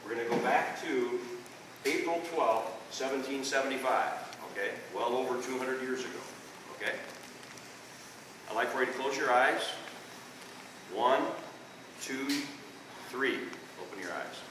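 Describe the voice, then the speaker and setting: A man's voice: mid-range voice, unhurried, long pauses, noisy background. An older man with a Midwestern accent giving a folksy classroom history talk, often checking in with "okay?", recorded far off in a school room. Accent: Midwestern American. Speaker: re-enactor and teacher. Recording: echoey room from a distance.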